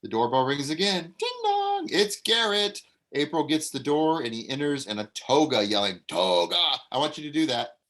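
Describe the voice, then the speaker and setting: A man's voice: a little high, normal speed, hardly any pauses. Casual man reading stage directions. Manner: relaxed, casual, with fillers and self-corrections. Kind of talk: table read narration and direction.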